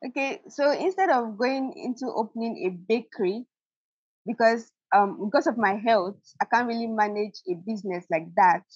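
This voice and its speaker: A woman on a video call about starting a baking show, hesitant and nervous, stammering and laughing softly. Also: on the high side, moderate pace, breathy edge, no echo. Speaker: caller, aspiring baker. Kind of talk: coaching call. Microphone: video call, audio only. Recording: dull lo-fi call audio.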